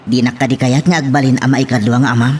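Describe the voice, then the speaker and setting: Man acting cheeky tricycle driver, medium pitch. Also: little pitch movement, fast, lightly acted. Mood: pleading. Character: cheeky, pleading male tricycle driver and eager suitor. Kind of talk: radio-style serial drama acting. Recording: faint background noise.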